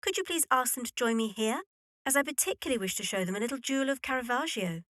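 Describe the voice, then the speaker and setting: Arch, high-voiced young lady played by an actress. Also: lively intonation, fast, fairly bright tone, no room sound, clean studio sound. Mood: arch, composed. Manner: formal, arch, decided. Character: Paula, wealthy self-possessed young lady, coolly opinionated. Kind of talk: period radio drama acting.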